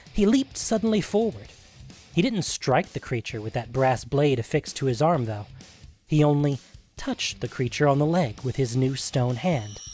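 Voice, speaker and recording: A man's voice: a little high, a little mellow. A man reading scripted narration for a fantasy adventure story.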